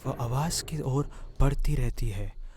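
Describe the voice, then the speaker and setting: Slow-paced male story narrator. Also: mid-range voice, darkish tone, a little hiss or hum.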